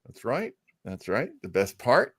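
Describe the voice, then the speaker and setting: A man hosts a casual live show with a medium-pitched voice. He is halting and self-deprecating. The sound is very dry. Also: wildly swooping pitch, fairly bright tone, husky, no echo. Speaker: radio stream host.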